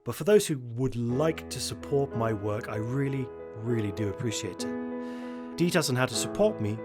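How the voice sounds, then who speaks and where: A man's voice: mid-range voice, expressive, moderate pace, over loud music. A man hosting his storytelling channel, giving a friendly, upbeat sign-off. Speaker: channel host.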